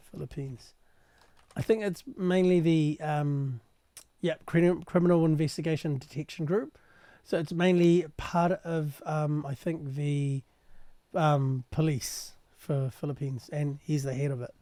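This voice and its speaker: A man translating and explaining a news clip in a casual, halting way with many pauses. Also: on the high side, warm tone, no room sound. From New Zealand. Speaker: translator and commentator.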